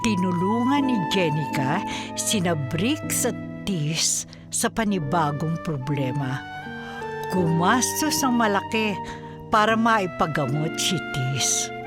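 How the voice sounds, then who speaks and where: A woman narrates a radio drama from a script in a Filipino accent. Her delivery is very slow and her voice somewhat low, with loud music behind it. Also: expressive.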